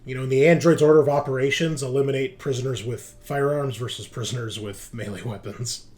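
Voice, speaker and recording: A man's voice: medium pitch, steady tone, a little fast, a little mellow, a little hiss or hum. Man running a tabletop roleplaying game. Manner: conversational, explanatory. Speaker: game master.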